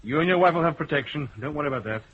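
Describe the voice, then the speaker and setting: An actor playing a steady police detective in a radio drama, speaking in a level, firm way. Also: male voice, fairly high pitch, racing, husky. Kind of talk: 1970s radio drama acting. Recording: muffled old radio broadcast.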